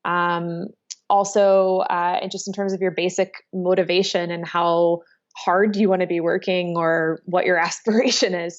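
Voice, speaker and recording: Woman in her thirties, from Chicago, casual interview answers, frequent ums, muffled lo-fi audio. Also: fairly low pitch. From Chicago, United States. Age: 30s. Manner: thoughtful, many ums and hedges. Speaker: interviewee, entrepreneur. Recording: dull lo-fi sound.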